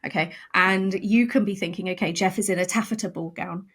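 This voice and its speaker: Husky-voiced woman thinking aloud. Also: mid-range voice, steady tone, a little fast. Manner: reflective, thinking aloud with questions. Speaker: writer, podcast guest. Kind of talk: casual podcast discussion.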